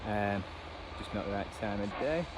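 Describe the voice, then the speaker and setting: A man's voice: on the low side, moderate pace. A man giving casual, unscripted commentary as a hobbyist host, rambling and self-deprecating in manner. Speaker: radio hobbyist and video host. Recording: noisy outdoor recording, radio static and traffic.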